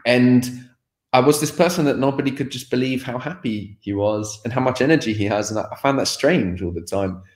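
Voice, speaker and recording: Man, 30s, candid interview guest on a video call, mid pitch, echoey room. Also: a little fast. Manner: rambling, frank, laughs often, restarts. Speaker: guest, language course creator. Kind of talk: candid personal conversation. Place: home room by a sofa.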